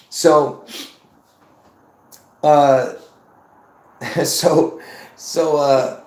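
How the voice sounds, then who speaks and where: A man in his fifties running a live stream, circling back and repeating phrases as he presses his point on viewers. His voice sits at an ordinary middle pitch for a man. The room gives everything a noticeable echo. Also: a little mellow. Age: 50s. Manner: repetitive, insistent, addresses viewers as folks. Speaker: livestream host. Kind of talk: rambling live-stream monologue. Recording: roomy echo.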